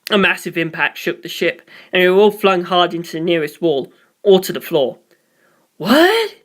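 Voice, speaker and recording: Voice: medium pitch, brisk. A narrator reading a scripted story aloud. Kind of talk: scripted narration of fan fiction.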